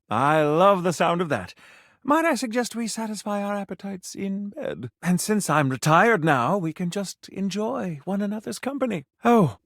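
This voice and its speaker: An actor playing a pompous, flirty food critic in a video game, with formal, theatrical phrasing and French flourishes. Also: male voice, high-pitched, no room sound, clean studio sound. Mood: playful, flirty. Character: pompous, flamboyant male food critic, flirty gourmand dandy. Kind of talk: video game character acting.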